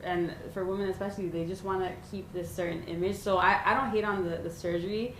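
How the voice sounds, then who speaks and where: A woman's voice: fairly low pitch, steady tone, fast. A woman podcast guest giving blunt, emphatic opinions in casual chat, swearing freely. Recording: echoey room, faint noise.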